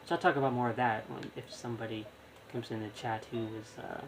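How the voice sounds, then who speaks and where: A man's voice: medium pitch, fairly even intonation, a little fast, vocal fry, background noise. A man streaming a video game, thinking aloud in casual, rambling live commentary.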